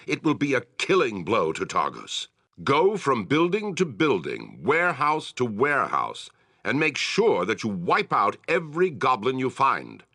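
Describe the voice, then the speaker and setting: Fantasy game town ruler, stern, sharp forceful voice, very animated intonation, brusque, commanding, close dry sound. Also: male voice, on the low side, no room sound, exaggerated voice. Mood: commanding, grim. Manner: brusque, authoritative, formal phrasing. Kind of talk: fantasy video game character acting.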